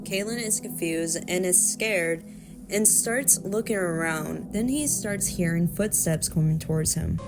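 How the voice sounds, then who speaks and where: Woman breaking down a horror short, casual and explainy, music loud behind her. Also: medium pitch, a little slow. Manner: explanatory, informal. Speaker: video commentator. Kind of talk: horror film breakdown commentary.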